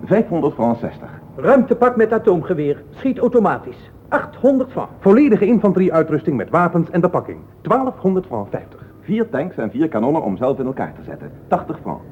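An actor in a radio play voicing a toy shop voice that declaims war toys and prices like sales patter. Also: male voice, a little high, very animated, fairly quick, a little mellow. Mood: insistent hawking. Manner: declaiming item lists and prices. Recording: thin phone-like old radio recording, faint noise.

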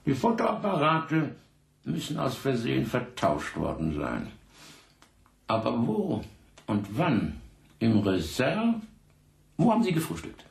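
An actor in a radio drama playing a sardonic, bullying middle-aged French police investigator, condescending and theatrical. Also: male voice, medium pitch, huge pitch swings, slow, gravelly, long pauses, roomy sound, full character voice. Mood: interrogating. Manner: condescending, theatrical, heaving between scorn and mock courtesy. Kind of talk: German radio drama acting.

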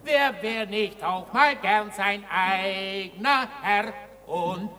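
An actress playing a mocking street ballad singer in a radio play, half singing and declaiming to the common folk. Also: female voice, medium pitch, slow, fairly bright tone, slight background noise. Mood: mock outraged. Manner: declamatory, theatrical, half sung.

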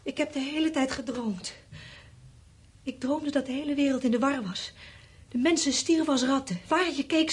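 An actress playing a feverish, frightened wife in a radio play, speaking intensely in a husky, breathy voice. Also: female voice, a little high, darkish tone. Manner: intense, halting recounting and insistent questioning. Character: feverish, frightened wife, haunted by bleak dreams.

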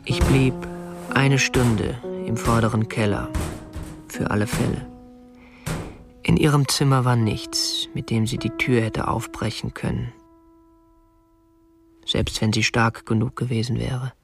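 Controlled young kidnapper, slightly creaky. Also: male voice, medium pitch, steady tone, a little slow, pauses often, over loud music. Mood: detached, confessional. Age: young adult. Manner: matter-of-fact, controlled, politely stiff. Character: prim, obsessive young male kidnapper confessing his crime. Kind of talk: radio drama narration and dialogue.

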